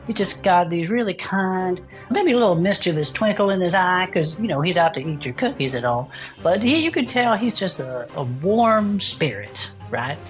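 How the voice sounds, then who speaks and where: A man playing a folksy, good-natured small-town radio co-host with a Southern US accent and a husky, drawling, enthusiastic delivery. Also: high-pitched, lively intonation, moderate pace, a bit bright, soft background music. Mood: playful, chatty. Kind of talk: improvised in-character audio drama chat show.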